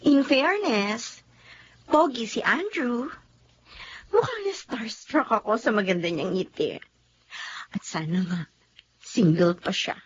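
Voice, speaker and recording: A woman's voice: a little high, lively intonation, takes it slowly, long pauses. A woman playing a giggly smitten young coordinator. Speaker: Sabrina, event coordinator. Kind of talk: Tagalog radio drama acting. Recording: dull lo-fi audio with faint hiss.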